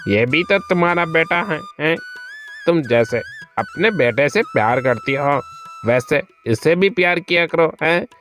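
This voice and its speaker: A man's voice: fairly high pitch, lively intonation, a little slow, a bit bright. A man voicing a kindly village father in a moral-story cartoon, pleading and tagging phrases with little questions, over music. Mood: pleading reproach. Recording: music under the voice.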